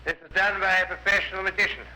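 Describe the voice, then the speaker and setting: A man's voice: sits high, little pitch movement, fast, sharp tone. An actor playing a cocky, provocative male journalist giving dry, combative evidence in a 1950s radio courtroom drama. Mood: assertive. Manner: dry, combative, deferential titles, hesitant fillers. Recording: noisy vintage 1950s radio recording.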